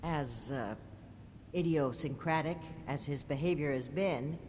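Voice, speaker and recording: Formal, measured officer over noisy ambience. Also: female voice, low-pitched, darkish tone. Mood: serious, analytical. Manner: formal, articulate, deliberate. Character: earnest, formal female military officer, principled and measured. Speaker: video game character. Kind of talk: scripted game dialogue. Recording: clear background noise, game ambience.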